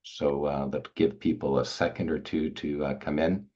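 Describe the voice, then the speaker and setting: An older man from Montreal co-hosts a webinar from home, talking loosely and greeting viewers by name as they join. His voice is very deep, and the video-call audio comes through dull and lo-fi. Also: steady tone, normal speed, darkish tone. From Montreal, Canada. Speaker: organization founder and webinar co-host. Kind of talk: casual webinar chat. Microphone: video call laptop mic.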